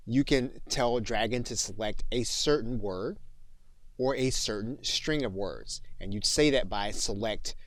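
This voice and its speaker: A man with a fairly low voice presents a scripted tutorial as an instructor. He explains each point in a methodical way. The sound is very dry, with no room echo. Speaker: course instructor.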